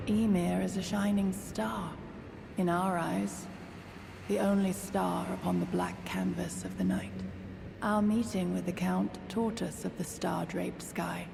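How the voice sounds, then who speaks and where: Gravelly, aloof, devoted swordswoman. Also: fairly low pitch, steady tone, measured pace, big-room sound, noisy background. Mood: reserved, reverent. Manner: reserved, formal, reverent. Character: aloof, devoted swordswoman serving a revered count. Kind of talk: scripted video game drama, formal speech.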